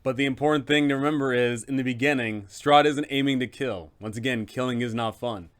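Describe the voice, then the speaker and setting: A man's voice: medium pitch, brisk, clean tone. A man hosting a game master's guide, narrating in an emphatic, conversational explainer style.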